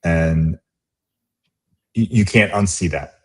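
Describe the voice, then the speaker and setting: A middle-aged man, born in Romania and raised in the US, speaking as an interview guest in a reflective, halting way with many restarts. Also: low-pitched, slightly rough. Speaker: guest, business executive. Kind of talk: casual interview conversation.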